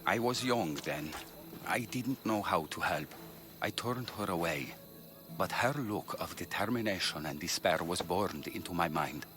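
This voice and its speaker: An actor playing a dedicated, brisk ancient Greek physician in a video game, earnest and emphatic in the way he talks. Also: sits low, expressive, music in the background. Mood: solemn, regretful.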